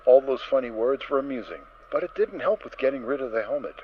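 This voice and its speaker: Lively, dramatic male story narrator. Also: mid-range voice, fast talker. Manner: expressive, theatrical emphasis. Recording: dull recording with faint noise.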